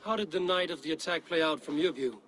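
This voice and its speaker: An actor playing a stern Viking warrior in a video game, questioning people in a measured, grave way. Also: male voice, fairly high pitch, fairly bright tone, slight background noise, natural voice. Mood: probing. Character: stern probing Viking warrior investigating a betrayal. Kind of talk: video game cutscene dialogue.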